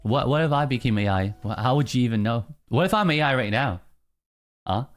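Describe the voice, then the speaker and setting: A streamer in casual live-stream chatter, teasing chat and answering in mock outrage between low, mumbled musings. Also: expressive, fairly quick, faint music behind. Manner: reacts to chat, teasing, mock-indignant bursts.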